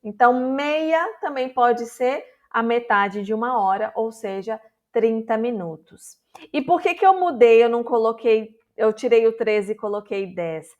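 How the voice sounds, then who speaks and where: Brazilian woman teaching online, a bit high-pitched, patient and explainy, keeps asking "né?". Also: measured pace, a little mellow, muffled recording. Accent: Brazilian Portuguese. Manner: patient, explanatory, with frequent "né?" checks. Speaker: Portuguese teacher. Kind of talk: online language lesson.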